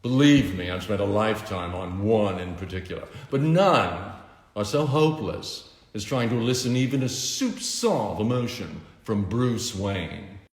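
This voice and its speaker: A man voicing a smooth, scheming male billionaire villain in a grand, theatrical manner, in a roomy echoing room. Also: fairly low pitch, expressive, measured pace. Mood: smug.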